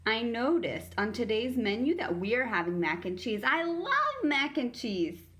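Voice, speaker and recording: A woman voicing a bubbly, confident new schoolgirl in a children's storybook, gushing and exclaiming brightly. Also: a little high, big pitch swings, measured pace, voice pushed up. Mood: bubbly, excited.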